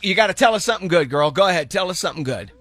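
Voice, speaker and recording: A man's voice: high voice, lively intonation, fairly bright tone, no echo. Playful New York radio host man. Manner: playful, jokey, quick back-and-forth. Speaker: radio morning show host. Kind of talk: morning radio banter. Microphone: studio microphone.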